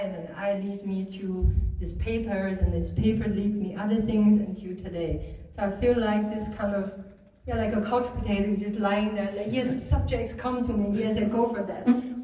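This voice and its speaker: A woman from China speaks on a discussion panel, often pausing and restarting as she looks for words. Her voice is mellow and a little dark. It comes across an echoey room from a distant microphone, with a faint hum behind it. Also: medium pitch. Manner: searching for words, many restarts. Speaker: artist panelist. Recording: echoey room, mic far off.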